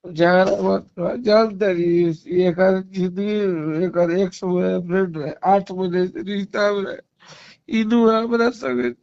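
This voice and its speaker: Man, Bhojpuri accent, high creaky voice, pleading and agitated in a personal quarrel; muffled, dry phone recording. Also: steady tone, slow pace, a little mellow, no echo. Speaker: caller in a personal phone argument. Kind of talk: emotional private argument. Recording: dull lo-fi recorded phone call.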